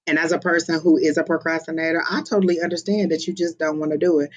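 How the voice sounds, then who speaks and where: Expressive psychic reader, muffled stream. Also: medium pitch. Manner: expressive, exclaims often, halting with many fillers. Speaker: psychic medium. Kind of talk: live-streamed reading, casual chat.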